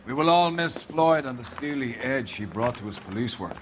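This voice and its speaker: An actor playing a brisk, authoritative police captain briefing his squad in a formal, declamatory manner in a video game. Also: medium pitch, lively intonation, background noise, natural voice. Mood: wry, formal. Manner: commanding, declamatory.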